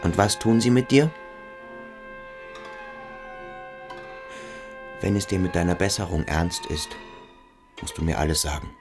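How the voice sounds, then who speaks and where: An actor playing a stern, brooding boarding-school pupil who probes and commands in a German radio play. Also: male voice, deep voice, animated, brisk, a little mellow, faint music behind. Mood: probing. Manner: insistent, probing, commanding.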